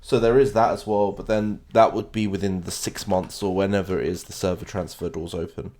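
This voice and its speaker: London guy streaming a game, kinda low voice, rambly and chatty, talking to viewers while he plays. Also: steady tone, a little fast, darkish tone. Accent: British (London). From London, England. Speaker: gaming streamer. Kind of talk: casual live game commentary.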